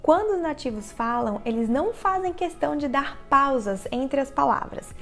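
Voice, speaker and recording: A woman with a Brazilian Portuguese accent explaining English listening tips directly to the viewer in a clear, instructional vlog narration. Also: high-pitched, lively intonation, normal speed, faint music behind. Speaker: English teacher, channel host. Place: living in the United States.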